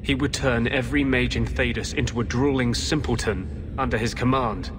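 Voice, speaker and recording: A man's voice: mid-range voice, steady tone, moderate pace, noisy background, natural voice. An actor playing an earnest, bitter rebel mage in his thirties in a fantasy game, speaking intensely. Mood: earnest, urgent.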